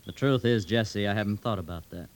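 An American actor playing a grim, steady, protective outlaw brother in a radio western, terse and deliberate. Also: male voice, on the low side, natural voice. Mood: guarded. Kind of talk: old-time radio western acting. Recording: noisy vintage radio recording with clicks.

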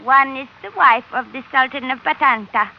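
A woman's voice: high voice, moderate pace. An actress playing a proud young island noblewoman in an old-time radio drama, with stilted, formal, commanding speech. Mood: stately, formal. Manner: stilted, formal, calls herself "one". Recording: dull, lo-fi, noisy old radio recording.

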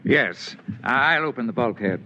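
An actor playing an anxious, cautious chief scientist in an old-time radio science fiction drama, earnest and urgent. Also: male voice, medium pitch, expressive, measured pace, natural voice. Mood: businesslike. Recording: muffled old radio recording with noise.

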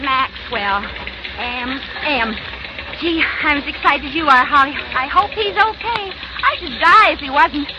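A woman's voice: high voice, expressive, a little slow. An actress playing a starry-eyed, nosy young office girl, gushing and girlish in 1940s radio style. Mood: eager, prodding. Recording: noisy, muffled 1940s radio recording.

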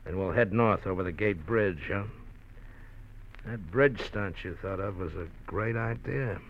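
Menacing crook, low pitch, clipped slangy talk, mock-friendly, 1940s radio drama, muffled hissy recording. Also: male voice, measured pace. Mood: threatening. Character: menacing, smug male crook shadowing an old pal.

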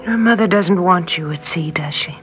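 A woman acting soft young woman, dreamy, tender, probing, old radio drama, dull recording, music clearly behind. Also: lowish voice, normal speed, natural voice. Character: gentle twenty-three-year-old American woman, dreamy, uncannily knowing.

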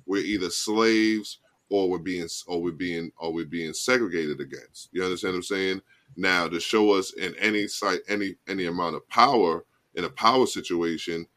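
Deep-voiced man hosting a chat show. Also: fairly bright tone. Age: 40s. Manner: loose and conversational, keeps asking "you know what I mean". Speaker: podcast host. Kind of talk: casual chat.

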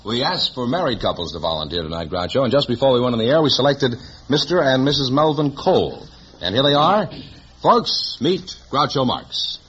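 Man, American, vintage radio announcer, animated pitch, emphatic sales delivery, muffled old recording. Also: fairly quick, slight background noise. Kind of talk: scripted 1950s radio announcing and advertising.

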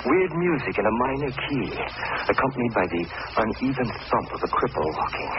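A man's voice: medium pitch, very animated, a little fast, natural voice. An American actor playing a nervous, frightened, suspicious young man in a 1940s radio drama, intense and melodramatic. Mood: anxious. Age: young adult. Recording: muffled, noisy old radio recording.

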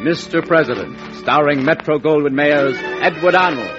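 A man's voice: fairly high pitch, normal speed. A man giving a grand, dramatic introduction to a vintage radio show. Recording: muffled old radio recording. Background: loud music.

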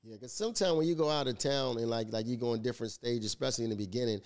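A man's voice: medium pitch, very fast, a little mellow. A man with a Baltimore accent in casual banter, swearing freely and checking that listeners follow him. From Baltimore, USA. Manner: slangy, swears freely, often checks 'you feel me'. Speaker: stand-up comedian, podcast guest. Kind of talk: casual round table banter.

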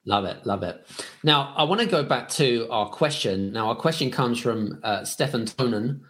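A man's voice: medium pitch, lively intonation, normal speed, fairly bright tone. Rambling male podcast host. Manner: rambling, searching questions. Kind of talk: interview questions.

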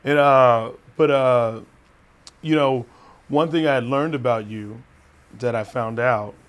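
A man's voice: mid-range voice, slow pace. A man in his thirties hosting a podcast, chatting in a chummy, profane way and often saying dude and man. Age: 30s. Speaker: podcast host. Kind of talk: casual chat.

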